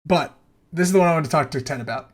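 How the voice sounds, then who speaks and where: A man's voice: fairly high pitch, racing. A man hosting a casual podcast, talking in a slangy, opinionated way with some swearing. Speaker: podcast host.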